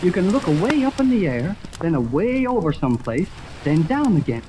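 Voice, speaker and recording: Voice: medium pitch, big pitch swings, fairly quick, lightly acted. A young-sounding performer playing an eager, plucky boy adventurer with boyish slang in a 1930s radio serial. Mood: curious. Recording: noisy 1930s radio recording.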